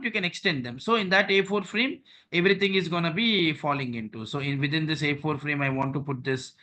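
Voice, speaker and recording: A man's voice: fairly high pitch, pushed and bright. A man leading an online training session, walking listeners through on-screen steps and often checking in with "okay" and "right".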